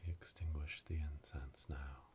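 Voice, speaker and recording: Man as a slow, whispering, solemn coven witch. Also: very deep voice, slight background noise. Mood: solemn, hushed. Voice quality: breathy whisper, monotone. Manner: slow, hushed, ceremonial whispering. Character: solemn witch elder leading a coven initiation. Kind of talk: whispered ASMR roleplay. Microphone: close binaural microphone.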